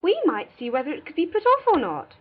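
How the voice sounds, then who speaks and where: A woman's voice: high voice, a little fast, narrow, tinny audio, voice pushed up. A woman putting on a nosy, prying older matron. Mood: teasing, prying. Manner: prying, teasing, excitable.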